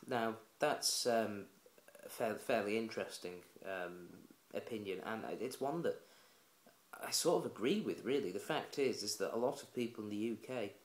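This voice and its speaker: A man's voice: on the low side, moderate pace. A man giving blunt, opinionated casual commentary to camera for his own video blog, speaking indoors at home. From England. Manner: blunt, opinionated, plain-spoken. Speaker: vlogger. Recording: roomy echoey room.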